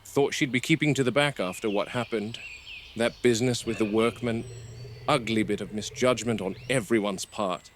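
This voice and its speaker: A man's voice: on the low side, normal speed, lightly acted. A man playing a stern, suspicious game warden with a clipped, sardonic delivery in a horror film. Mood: brooding. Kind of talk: found-footage horror film acting. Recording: noisy found-footage style audio.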